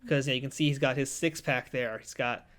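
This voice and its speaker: A quick-talking man in his thirties, seated at a studio table, co-hosting a collectible review. He explains the details with a chatty, joking manner, and his pitch sits in an ordinary middle range. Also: fairly even intonation, a bit bright. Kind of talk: casual review chat. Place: indoor studio set at a table.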